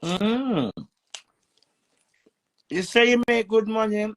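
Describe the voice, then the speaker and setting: A woman's voice: a little high, slow. A woman with a Jamaican accent touched with British, chatting playfully and teasing on a live stream from home. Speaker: livestream host. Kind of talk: flirty casual livestream chat.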